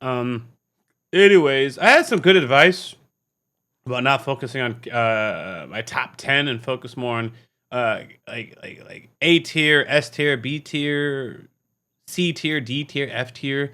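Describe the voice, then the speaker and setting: A man hosting a casual, unscripted reaction video, talking in an animated, slangy way and often repeating himself. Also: mid-range voice, takes it slowly. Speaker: YouTube reaction host.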